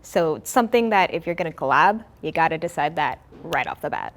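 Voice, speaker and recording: A young woman talks through creative work in an interview. Her voice sits slightly low, and she explains things as a writer and co-creator would. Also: expressive, a little fast, slight background noise.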